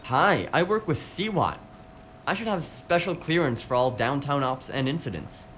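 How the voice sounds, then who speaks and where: An actor playing a grumbling tech office worker in an audio drama, casual and slangy with a melodramatic streak. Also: male voice, on the high side, expressive, normal speed, a bit bright, a little hiss or hum. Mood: puzzled, indignant.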